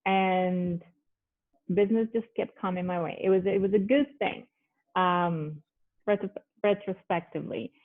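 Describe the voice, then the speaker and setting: A woman's voice: medium pitch, fairly even intonation, unhurried. Colombian woman on a thin call line. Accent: Colombian Spanish. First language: Spanish. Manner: conversational, many fillers and restarts. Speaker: guest, business owner. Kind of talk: casual video-call conversation. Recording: phone-like thin call audio.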